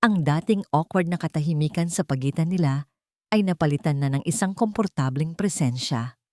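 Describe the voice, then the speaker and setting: Low-pitched woman narrating a story. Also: moderate pace, dark tone, dry recording, clean studio sound. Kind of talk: scripted narration of a romance story.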